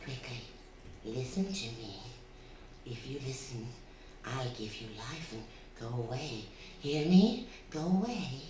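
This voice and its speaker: A woman playing a sinister, taunting undead female spirit in a radio drama, with a coaxing, theatrical, drawn-out delivery. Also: extremely low pitch, animated, warm tone. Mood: conspiratorial, coaxing. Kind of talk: stage radio drama acting. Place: theatre stage before a live audience. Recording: very echoey hall, distant, faint noise.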